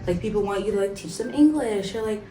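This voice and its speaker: Brief: young American woman, warm mellow tone, expressive, echoey room. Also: mid-range voice, fast talker. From New Jersey. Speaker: exchange student. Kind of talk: casual chat. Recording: echoey room, distant mic, background music.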